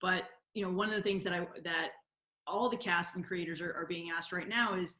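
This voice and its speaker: A woman moderating a live question session over a video call, phrasing her questions in a halting, reworded way. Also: on the low side, steady tone, bright, forceful tone. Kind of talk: live cast Q&A hosting. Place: indoor room, on a video call. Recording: roomy video call audio.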